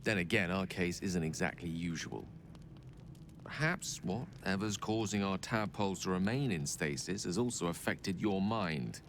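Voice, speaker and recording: A man's voice: deep voice, normal speed, a little hiss or hum. A man voicing an erudite, genial wizard in a video game, speaking in wordy, theatrical and somewhat pompous phrases. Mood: thoughtful, reassuring. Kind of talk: video game character acting.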